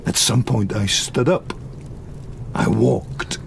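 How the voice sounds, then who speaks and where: A man playing a lonely, wounded, eloquent monster in an audio drama, speaking slowly and solemnly. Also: on the low side, gravelly, noisy background, full character voice. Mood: haunted, sorrowful. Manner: slow, deliberate, solemn storytelling.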